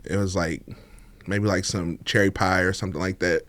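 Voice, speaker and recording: A man's voice: low voice, steady tone, normal speed, a bit bright, dry recording. A laid-back man, a podcast guest chatting casually and laughing often, with many fillers. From Oakland, California. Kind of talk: casual interview chat.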